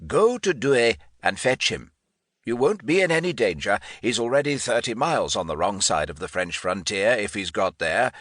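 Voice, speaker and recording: A man's voice: lowish voice, sing-song, normal speed, fairly bright tone, dry recording, clean studio sound, voice pushed up. Man acting an irascible, imperious old English lord. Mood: irritable. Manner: imperious, emphatic, formal. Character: irascible grey-bearded English lord and military commander.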